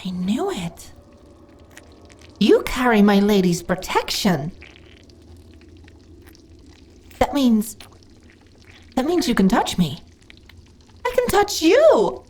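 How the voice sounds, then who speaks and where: Slime monster girl, female actor: lonely, hungry, easily flustered; very animated pitch, slow delivery, fantasy audio drama. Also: slightly rough, heavily acted. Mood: giddy, gushing. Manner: theatrical, giggly, stammering when flustered. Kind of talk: fantasy audio roleplay addressed to the listener.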